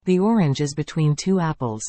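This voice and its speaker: A speaker reading short example sentences for an English lesson in a clear, measured way. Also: mid-range voice, animated, dark tone, no room sound. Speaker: English teacher.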